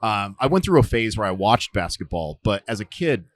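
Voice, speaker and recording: A man in his forties, a survival instructor, telling stories in a relaxed interview, with lots of fillers and restarts. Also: lowish voice, brisk. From United States. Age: 40s. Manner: rambling, many fillers and restarts. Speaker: podcast guest, survival instructor. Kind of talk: casual storytelling interview.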